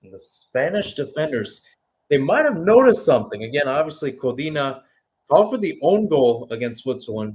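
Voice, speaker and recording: A man's voice: mid-range voice, a little mellow. A man giving live radio-style football play-by-play, mixing calls of the action with conversational, musing analysis. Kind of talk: live radio sports commentary.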